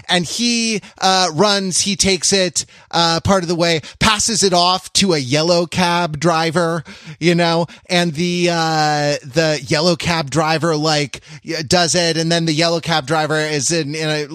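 Halting, rambling man discussing film, close dry sound. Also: fairly high pitch, a bit bright, no echo. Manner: halting, rambling, repeats and restarts phrases. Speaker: podcast co-host.

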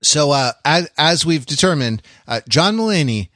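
Crisp-edged, bright American man, dry sound. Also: a little high, expressive, normal speed, no room sound. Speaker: podcast co-host. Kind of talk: casual discussion, moderating.

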